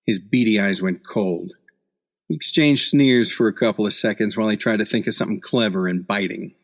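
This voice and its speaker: A man's voice: fairly low pitch, a little fast, vocal fry. A man playing a cocky, wisecracking hard-boiled newspaper reporter in an old-time radio play, full of sardonic slang. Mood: sardonic narrating.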